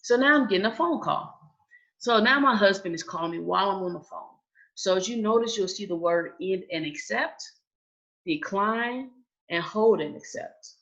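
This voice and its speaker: A woman's voice: medium pitch, lively intonation, normal speed, a bit bright, muffled recording. A woman giving an online training session in a casual, chatty way, often checking in with "okay?". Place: indoors, on a video call. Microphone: video call.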